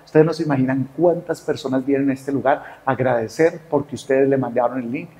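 A priest addresses his congregation with heartfelt gratitude and emotion. His voice sits at an ordinary middle pitch for a man. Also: steady tone, fairly quick, slight background noise. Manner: warm, emotional, grateful. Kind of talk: heartfelt announcement after Mass.

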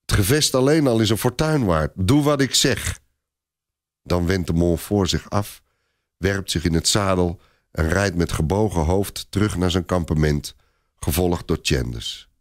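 Deep-voiced male storyteller, dry close sound. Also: no echo. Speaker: narrator. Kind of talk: dramatic scripted story narration.

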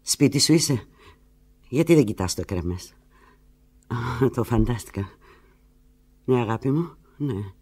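An actress playing an anguished, lovelorn woman alone on the telephone in a theatrical radio monologue, pleading and fretful, with halting replies. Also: extremely low pitch, lively intonation, mellow, slightly rough, full character voice. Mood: relieved, tense.

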